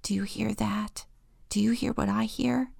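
A woman's voice: mid-range voice, fairly even intonation, unhurried, creaky, a little mellow, dry recording. Storybook: anxious whispering turtle, read by a woman. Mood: hushed. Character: wary male turtle.

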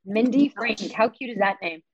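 A woman's voice: fairly high pitch, normal speed, breathy edge, dry recording, dull audio. A woman co-hosting a wellness podcast from home, chatting casually and laughing often. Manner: giggly and chatty. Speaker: podcast co-host.

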